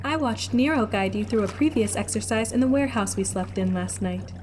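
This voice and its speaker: A woman's voice: mid-range voice, brisk, a little mellow, a little hiss or hum, close to an everyday voice. A woman voicing a polite, helpful female android companion who speaks in formal, precise phrases. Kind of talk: audio drama acting.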